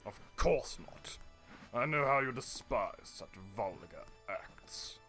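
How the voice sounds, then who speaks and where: A man's voice: medium pitch, expressive, slow pace, pushed and bright, soft background music. A man playing a shrewd, fawning prime minister in an audio drama, speaking in a formal, unctuous manner. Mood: deferential. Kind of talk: radio-play acting.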